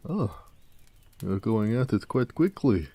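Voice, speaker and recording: A man playing a proud, gentle orc blacksmith in an intimate, affectionate audio roleplay, speaking tenderly and modestly. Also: very animated, slow, slightly rough, dry recording, full character voice. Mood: fond, curious. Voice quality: deep, mellow.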